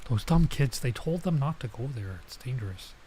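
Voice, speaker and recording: A man's voice: medium pitch, lively intonation, normal speed, warm tone. Casual man streaming over noticeable background noise. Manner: casual, reacts with asides and stumbles over lines. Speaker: streamer playing and reading a visual novel. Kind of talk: let's play, reading game text aloud with casual commentary.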